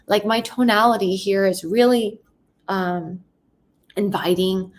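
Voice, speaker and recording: A woman teaching sales with a soft, empathetic manner. She often ends her points by checking in with the listener. Her voice sits at a middle pitch. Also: takes it slowly. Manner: soft-spoken, empathetic, frequent "right?" tags. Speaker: sales coach. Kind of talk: teaching with role-play demos.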